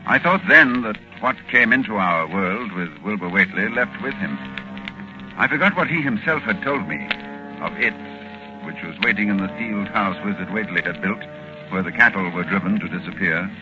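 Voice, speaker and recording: A low-voiced, horror-struck learned doctor, played by a man in an old radio drama. His delivery swings widely in pitch, full of dramatic halts, on a muffled vintage recording with music behind. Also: expressive, lightly acted. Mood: urgent, grave. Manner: dramatic, halting pauses and repeated words. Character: grave, horror-struck scholarly doctor telling his terrible tale. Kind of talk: 1940s radio drama acting.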